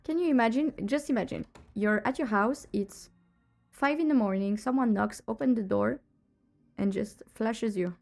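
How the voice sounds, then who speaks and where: A woman streaming a detective game while nursing a cold, her voice pulled lower and gravelly. She rambles in a relaxed, mellow tone, drifting between the game and chatter about her cats. She laughs easily as she goes. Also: normal speed, faint music behind. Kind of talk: casual live-stream chat.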